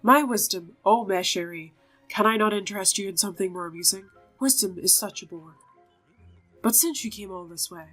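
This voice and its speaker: A woman's voice: mid-range voice, moderate pace, fairly bright tone, soft background music, natural voice, rough recording. Woman playing a flamboyant, aloof circus showman. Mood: languidly amused.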